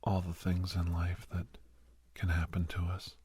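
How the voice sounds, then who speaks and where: A gentle doctor, played by a man, speaks in a low, very husky voice. He takes his time, pausing hesitantly as he reassures a nervous young listener. The delivery is soft, breathy ASMR roleplay that often slips toward a whisper. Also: monotone, exaggerated voice. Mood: reassuring. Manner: soothing, hesitant, halting pauses.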